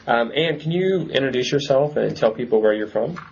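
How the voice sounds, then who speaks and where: Relaxed man interviewer. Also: mid-range voice, brisk, creaky. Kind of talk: casual interview. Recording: muffled lo-fi recording with faint hiss.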